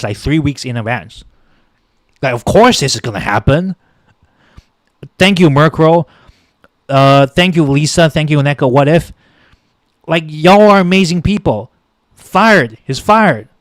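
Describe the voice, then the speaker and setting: Male stream host, high-pitched and impassioned, dry close-miked sound, reads chat names between rants. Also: no echo. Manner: impassioned, repetitive, thanks chat viewers by name. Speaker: political livestream host. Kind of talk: heated political rant on a livestream.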